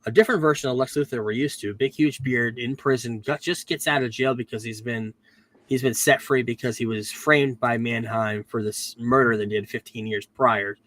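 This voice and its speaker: A man's voice: medium pitch, steady tone, moderate pace, fairly bright tone, no room sound. Man with a cold recapping a show. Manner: rambling, self-correcting, frequent "um"s. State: getting over a cold, losing his voice. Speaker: podcast host reviewing a TV series. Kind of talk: casual recap chat.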